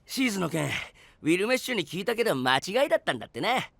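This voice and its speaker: A man's voice: high voice, big pitch swings, quick pace, creaky, a bit bright, full character voice. A man playing a cocky, boyish sidekick with brash, slangy speech in an anime-style audio drama. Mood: cheeky, loud.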